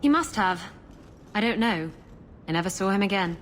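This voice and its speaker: A woman's voice: medium pitch, lively intonation, normal speed, slight background noise, lightly acted. An actress playing a sultry, guarded pirate woman in a video game, teasing and flippant. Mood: wry.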